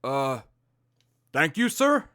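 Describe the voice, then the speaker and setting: Man acting a high-pitched, flustered soldier. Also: sing-song, slow, pushed and bright, dry recording, clean studio sound, heavily acted. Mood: nervous. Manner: flustered, deferential. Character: nervous, eager-to-please subordinate male soldier. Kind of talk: audio drama acting.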